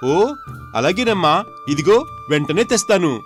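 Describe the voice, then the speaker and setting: High-voiced, eager mischievous boy. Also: lively intonation, a little slow, soft background music. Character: mischievous, idle young son pestering his parents. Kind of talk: animated moral story dialogue.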